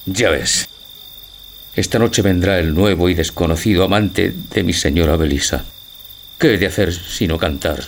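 A man playing a lovesick, scheming old gentleman in an audio drama, with a declamatory, deliberate delivery. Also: low voice, creaky, slight background noise. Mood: secretive, resolute. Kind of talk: theatrical audio drama acting.

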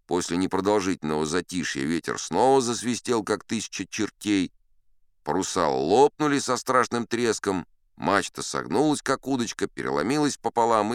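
Male actor as old sea captain, very low voice, lively swooping intonation, boastful yarn-spinner, theatrical audiobook storytelling. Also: smooth, no echo, studio quality. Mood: dramatic, suspenseful. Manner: theatrical, conversational asides, dramatic pauses and swells.

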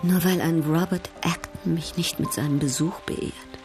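An actress playing a worldly, haughty European baroness in a German radio drama, formal, poised and coolly ironic. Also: female voice, low voice, steady tone, moderate pace, over music, natural voice. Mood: vexed.

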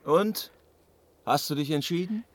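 An actor playing a mocking, triumphant god holding a captive, in a theatrical fantasy radio play style. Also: male voice, fairly high pitch, a little slow, close to an everyday voice. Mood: demanding. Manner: taunting, theatrical. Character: gloating, mocking male god, triumphant captor.

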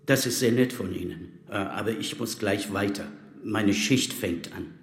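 A man acting a courteous, grave middle-aged exile in a naturalistic radio drama, gravelly and measured. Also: fairly low pitch, echoey room, close to an everyday voice. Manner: polite, measured.

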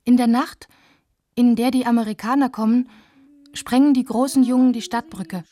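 A woman's voice: on the high side, fairly even intonation, normal speed, mellow, dry recording, studio quality, natural voice. A woman playing an observant young girl who recalls wartime in first-person radio play narration. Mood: quiet, wondering.

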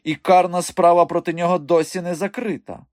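A man's voice: high voice, level tone, fairly quick, darkish tone, no echo, clean studio sound, voice pushed up, lightly acted. A man voicing a sneaky, cowardly circus dwarf with a whiny, wheedling manner, in a storybook reading. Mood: insinuating.

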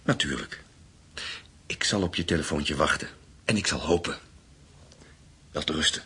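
A man's voice: very deep voice, moderate pace, sharp tone, husky, full character voice. An actor in a Dutch radio play voicing an earnest, courtly, ambitious businessman with political aims. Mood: hopeful.

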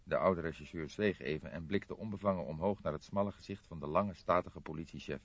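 A man's voice: deep voice, brisk. A man narrating a crime novel as a scripted, dramatic audiobook reading. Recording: roomy echo, slightly muffled audio.

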